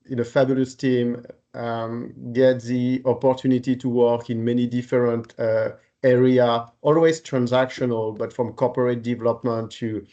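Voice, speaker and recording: A man in his forties from Paris with a French accent, speaking politely and haltingly as an interview guest. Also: medium pitch, a little mellow, dull audio. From Paris, France. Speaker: podcast guest, renewable energy executive. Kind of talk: conversational career account in interview.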